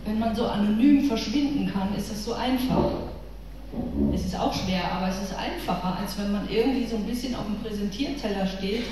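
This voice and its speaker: A woman's voice: mid-range voice, fairly quick, dark tone. A middle-aged woman with a northern German accent giving a chatty, informal faith talk to a women's church gathering, speaking to her listeners directly and personally. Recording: echoey hall from far off with room noise.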